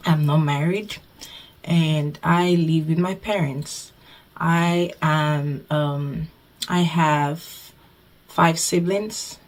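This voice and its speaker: A young Nigerian woman in her twenties talks straight to her camera in a casual vlog. Her voice sits low for a woman. She chats warmly and loosely, circling back and repeating phrases as she goes. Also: fairly even intonation, extremely slow. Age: 20s. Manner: chatty, repeats herself, thankful. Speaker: budgeting vlogger.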